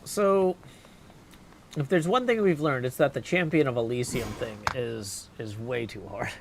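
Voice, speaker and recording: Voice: mid-range voice, measured pace. A game streamer giving casual livestream commentary, muttering through choices and reading game text aloud, with noise behind the voice. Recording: clear background noise.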